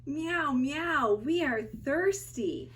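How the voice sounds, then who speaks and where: A woman voicing meowing storybook cats and kittens with drawn-out, childlike delivery. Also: high voice, animated, heavily acted. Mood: plaintive. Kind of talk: reader's theater character acting. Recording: distant from the mic.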